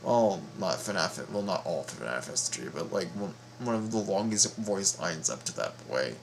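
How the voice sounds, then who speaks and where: A man giving casual reaction commentary as a video host, halting, informal and repeating himself. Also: low-pitched, animated, gravelly, a little hiss or hum.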